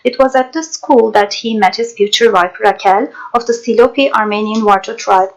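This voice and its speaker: A woman narrator and interpreter reading scripted documentary voice-over in a measured, formal way. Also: a little high, low-quality recording.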